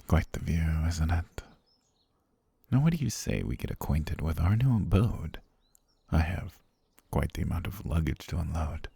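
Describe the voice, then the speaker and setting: A man voicing an obsessive, soft-spoken butler who has kidnapped his lady, talking in a hushed, half-whispered, gently menacing way for an ASMR roleplay. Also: very deep voice, big pitch swings, measured pace, gravelly, long pauses, breathy edge, no echo, full character voice. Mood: soothing possessive. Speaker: voice actor.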